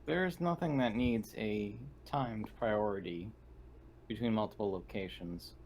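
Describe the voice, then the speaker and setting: A man's voice: on the low side, unhurried, a little mellow, slight background noise. A man playing a tabletop roleplaying game, speaking in formal, precise wording as he plays his part. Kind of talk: casual roleplaying, partly in character.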